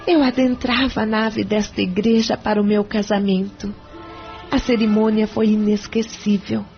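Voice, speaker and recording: A woman's voice: mid-range voice, natural voice. A woman playing a devout, troubled wife in solemn, formal prayer in an audio novela. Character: devout, desperate wife praying to save her marriage. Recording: dull sound with faint music. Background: faint music.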